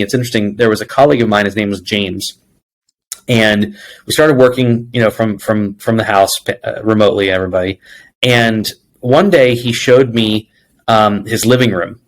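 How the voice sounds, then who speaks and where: A man's voice: medium pitch, rough recording. A man speaking as an interview guest, answering conversationally and telling reflective stories with bits of quoted dialogue. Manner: reflective storytelling, quoting dialogue. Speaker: interview guest, people executive.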